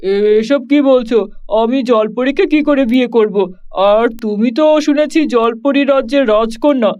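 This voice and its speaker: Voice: mid-range voice, a little slow, darkish tone, muffled recording, close to an everyday voice. An actor voicing a humble, simple young cowherd boy in a children's cartoon, speaking in a plain, folksy manner. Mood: hesitant, humble.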